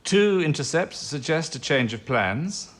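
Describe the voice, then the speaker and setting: An actor with a British accent playing a courteous, humane wartime intelligence official in a radio drama, speaking with hesitant politeness. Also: male voice, on the high side, very animated, a little slow. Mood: grave, measured.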